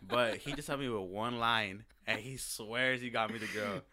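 A man's voice: lowish voice, normal speed, bright, forceful tone. A man in his early twenties, a podcast guest, chatting in a laid-back way and saying bro a lot. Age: 20s. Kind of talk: casual chat.

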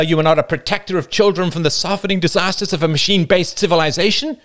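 A man's voice: a little high, fairly bright tone, voice pushed up. A man voicing an impassioned male speaker who lectures and accuses a rival in a story. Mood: accusing. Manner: righteous, accusing, preachy.

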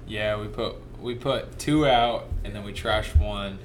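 A man's voice: lowish voice, measured pace, slight background noise. A young man hosting a casual podcast, talking loosely with lots of fillers and jokes. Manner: loose and joking, many fillers. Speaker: podcast host.

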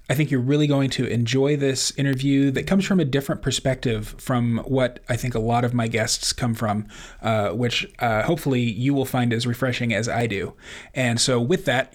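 A man hosting a podcast interview, halting and heartfelt, with many restarts. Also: medium pitch. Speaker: podcast host.

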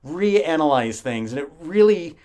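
A man's voice: on the high side, expressive, measured pace, darkish tone. A man co-hosting a podcast, talking casually and animatedly and laughing often. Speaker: podcast co-host. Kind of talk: casual chat.

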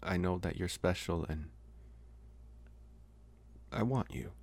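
A young man playing a socially awkward college vampire, possessive yet earnest, speaking haltingly in an intimate ASMR roleplay. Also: sits low, gravelly, a little mellow, heavily acted. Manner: halting, hesitant, trying to sound intimidating.

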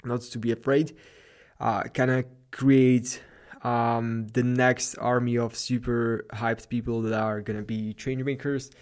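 A man's voice: medium pitch, level tone, measured pace, darkish tone. A man with a Slavic accent hosting a solo podcast, giving a loose, rambling pep talk late at night in his apartment. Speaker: podcast host. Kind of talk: solo motivational podcast monologue.

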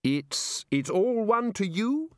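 A bluff, booming elderly wizard leader, all hearty good cheer, as a male narrator puts him on. His voice rides high and leaps about. The sound is close and dry. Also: very animated, slow, a bit bright, no echo, heavily acted. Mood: puzzled.

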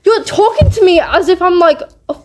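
A woman co-hosting a casual chat podcast, blunt and emphatic in quick rants. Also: very high voice, animated, slight background noise. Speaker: podcast co-host.

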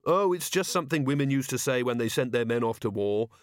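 Man voicing a dry, deadpan watchwoman. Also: medium pitch, fast talker, no echo, close to an everyday voice. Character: dry, terse female watch officer.

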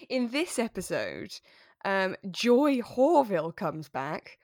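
A woman's voice: mid-range voice, big pitch swings, slow, a little mellow, dry recording. Chatty British woman, close dry sound. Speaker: podcast co-host. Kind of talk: casual chat discussing a radio drama.

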